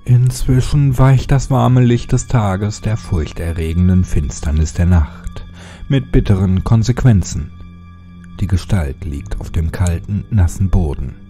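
Male horror audiobook narrator: low, very mellow and dark, dramatic and suspenseful, music underneath. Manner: dramatic, suspenseful delivery with deliberate phrasing.

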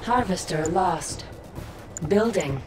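Casting: cold female alien battle AI, low register, measured and commanding, terse game alerts under loud music. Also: expressive. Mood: impersonal, commanding. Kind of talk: terse strategy-game status announcements.

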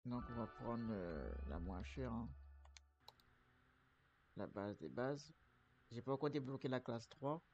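Gravelly man with the flu, rambling. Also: medium pitch, lively intonation, takes it slowly, pauses often, darkish tone, lo-fi sound, faint music behind. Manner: rambling, hesitant, many restarts and fillers. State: has the flu. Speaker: streamer playing a trucking game. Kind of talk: casual gameplay commentary.

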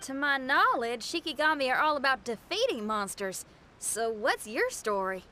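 An upbeat, brash young rock musician talks in a folksy, colloquial way. Her voice is high, forceful and very animated, as in video game dialogue. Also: moderate pace, full character voice. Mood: casual.

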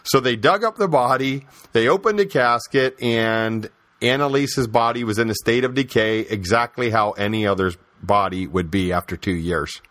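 A man's voice: medium pitch, normal speed, fairly bright tone, no echo. A man hosting a podcast, giving opinionated religious commentary and storytelling in an emphatic, conversational way, with repeated phrases and rhetorical questions. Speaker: podcast host.

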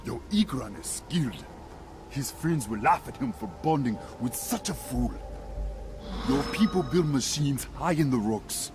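A scornful alien warrior for a video game. His speech is formal and terse, with dramatic swings in pitch. Faint music plays underneath. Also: lively intonation, unhurried. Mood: stern, dismissive. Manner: stern, terse, formal pronouncements. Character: proud, distrustful alien Na'vi warrior, stern and scornful. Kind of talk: video game cutscene acting.

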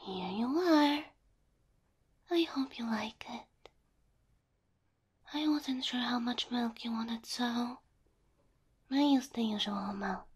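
A woman plays a sweet, submissive girlfriend in an ASMR roleplay. Her voice is high, soft and breathy, and she takes her time. She coaxes tenderly, close to the microphone. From Slavic Eastern Europe. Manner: tender, slow, coaxing. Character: gentle, doting, submissive young cow-girl girlfriend.

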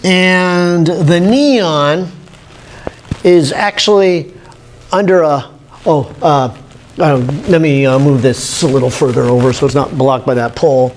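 A man, giving an informal, halting lab demonstration as an instructor, thinking aloud. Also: on the high side. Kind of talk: informal demonstration lecture at a whiteboard. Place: indoor classroom lab. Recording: steady room noise, classroom camera audio.

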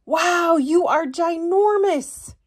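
A woman's voice: extremely high pitch, takes it slowly, voice pushed up. Woman voicing an eager, dramatic little sprout girl. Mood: excited.

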